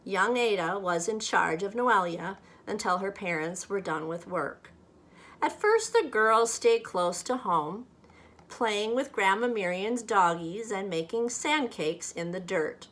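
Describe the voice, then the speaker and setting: A woman reading a children's picture book aloud expressively, with measured, dramatic phrasing meant for young listeners. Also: medium pitch, animated, fairly bright tone. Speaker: storybook reader.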